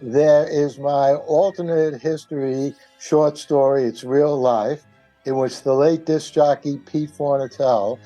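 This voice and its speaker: A man's voice: medium pitch, steady tone, a little slow, warm tone, soft background music, poor audio. An older man talking casually as a radio guest, telling stories with many digressions. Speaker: radio panel guest, author. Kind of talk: casual discussion.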